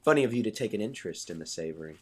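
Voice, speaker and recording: A man plays a genial, confident chef in an audio drama. His voice is fairly low and swings noticeably in pitch. He talks in a familiar, teasing way, bossy but kindly. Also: animated, racing, darkish tone, husky.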